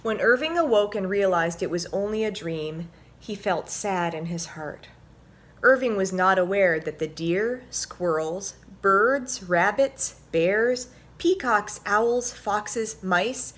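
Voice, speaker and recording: A woman's voice: medium pitch, lively intonation, slow, fairly bright tone. Woman reading a children's storybook aloud.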